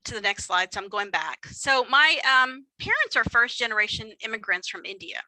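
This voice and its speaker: A woman with a Texan accent presenting a workshop in an easy, chatty way, with lots of fillers and self-deprecating asides. Her voice is very bright and forward, recorded close and dry with no room sound. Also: a little high, very animated, moderate pace, no room sound, low-quality recording. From Texas. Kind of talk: conversational lecture with slides.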